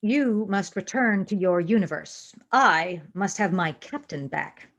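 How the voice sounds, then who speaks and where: A woman playing a female alien science officer in a fan audio drama, unemotional, formal and precise, with deadpan delivery. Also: mid-range voice, a little slow, highs cut off. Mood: stern, composed.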